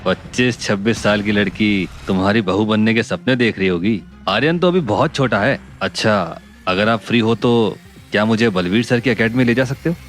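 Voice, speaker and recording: A man's voice: lowish voice, normal speed, faint music behind, close to an everyday voice. An actor playing a frank, inquisitive male friend in an audio drama, talking casually and directly. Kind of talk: Hindi audio drama acting.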